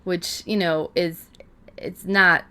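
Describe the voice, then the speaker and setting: A woman's voice: fairly low pitch, fairly even intonation, slow, pushed and bright, clear, resonant tone. A woman co-hosting a podcast, talking in an informal, loosely rambling way. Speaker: podcast co-host and fiction writer. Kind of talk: casual podcast chat.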